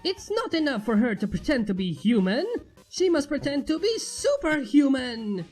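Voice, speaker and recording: A woman voicing a pompous, excitable male skeleton in a story reading, proclaiming everything emphatically. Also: high voice, moderate pace, soft background music, voice pushed up. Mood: earnest complaint.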